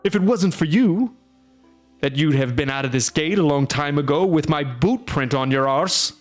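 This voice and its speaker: A man's voice: fairly high pitch, animated, normal speed, soft background music, pitched above the performer's own voice, natural voice. A man voicing a huge, bear-headed male monster who roars threats and grumbles indignantly. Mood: blustering grumble. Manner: roaring, bluster turning to grumbling.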